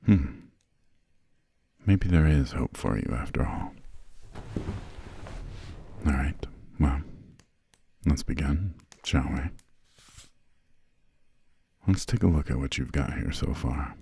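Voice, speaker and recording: A man playing an imperious, centuries-old vampire lord in an ASMR roleplay, courteous but condescending, with formal, measured, soft speech and a breathy, gravelly voice close to the microphone. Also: very deep voice, animated, slight background noise, exaggerated voice. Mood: stern, intrigued. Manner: slow, measured, formal, haughty, drawn-out pauses. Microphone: close, dry studio microphone.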